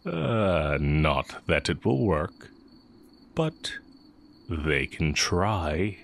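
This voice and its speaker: A man playing a sly, heretical magic teacher with secret longing, speaking in a measured, teasing way in a romantic audio roleplay. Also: deep voice, big pitch swings, vocal fry, a little hiss or hum, heavily acted. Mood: sly, confident. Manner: measured, deliberate, teasing delivery with drawn-out pauses.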